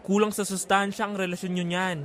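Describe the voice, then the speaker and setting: A man's voice: sits high, level tone, fairly quick. A young man playing a campy, witty, frank best friend in a casual audio drama. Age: young adult. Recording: loud music under the voice.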